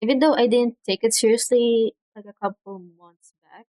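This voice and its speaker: A young woman from the Philippines chats casually on her livestream, speaking softly with frequent pauses and fillers. Her cheap mic gives a dry, close sound in a room at home. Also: on the high side, steady tone, dry recording. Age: young adult. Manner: soft-spoken, hesitant, many fillers. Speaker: streamer. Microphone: cheap desk mic.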